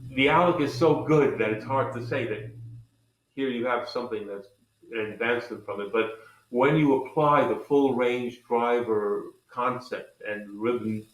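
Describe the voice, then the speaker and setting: Low-voiced man in an echoey room. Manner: enthusiastic. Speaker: company president being interviewed. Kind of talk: conversational product explanation. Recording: echoey, roomy sound.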